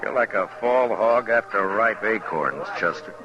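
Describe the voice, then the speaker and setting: A man playing a stern, weary frontier marshal in a 1950s radio western, speaking in a terse, grave, deadpan way. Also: lowish voice, unhurried. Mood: dry, guarded. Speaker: lead actor and narrator. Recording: muffled old radio recording with music.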